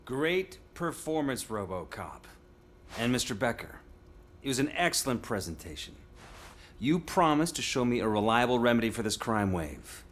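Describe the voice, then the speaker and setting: A man's voice: medium pitch, big pitch swings, normal speed, close to an everyday voice. An actor playing a self-assured male dignitary in a video game, speaking in a polished, formal, theatrical way. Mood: impressed, pointed.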